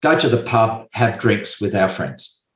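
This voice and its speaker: Male interview guest explaining study methods, slow and measured, stresses points by repeating them; echoey room sound. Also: fairly low pitch, sing-song. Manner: slow, deliberate, repeats key points with hesitant fillers. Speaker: guest expert on memory and mind maps. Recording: roomy echo.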